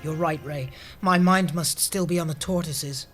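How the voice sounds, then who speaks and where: A man's voice: on the high side, moderate pace, a bit bright, soft background music, lightly acted. Man playing a blithe, scatterbrained office schemer. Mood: distracted, cheerful. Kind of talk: comedy audio drama acting.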